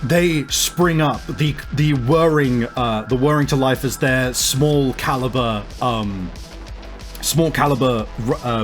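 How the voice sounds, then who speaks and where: A man working as a game master, improvising tabletop game narration in a halting, piece-by-piece way. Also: medium pitch. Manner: halting, pieces scenes together as they go. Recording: music playing behind the voice. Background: music.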